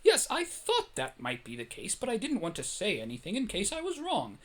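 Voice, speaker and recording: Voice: medium pitch, huge pitch swings, moderate pace. A storyteller acting out a fussy male protocol robot in a space fan-fiction story. The robot is polite and formal, forever apologetic and anxious to please. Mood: sheepish.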